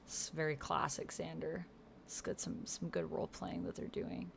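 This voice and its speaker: A woman with a fairly low voice hosts a casual game livestream. She talks informally to her viewers and thinks aloud as she goes. Computer keys clack behind her. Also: fairly even intonation, moderate pace, gravelly, a little hiss or hum. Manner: chatty, informal, thinking aloud while typing. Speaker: streamer hosting a solo actual-play roleplaying game. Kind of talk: casual livestream chat, reading out typed roleplay. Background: computer keyboard typing.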